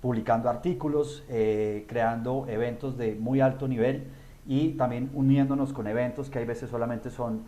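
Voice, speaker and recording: A man co-hosts a webinar over a video call. He talks at a middling pitch, in a halting way, leaning on "eh" between phrases. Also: fairly even intonation, dark tone. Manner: halting, many "eh" fillers. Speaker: webinar co-host. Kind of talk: webinar presentation.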